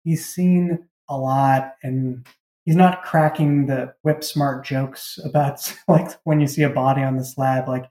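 A man's voice: mid-range voice, fairly even intonation, measured pace, dark tone. A man chatting casually and reflectively as a writer being interviewed. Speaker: audio drama creator and writer, interview guest. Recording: echoey room.

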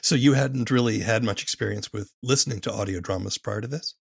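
Gravelly low-voiced man interviewing casually. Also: brisk, no echo, studio quality. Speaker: podcast interviewer.

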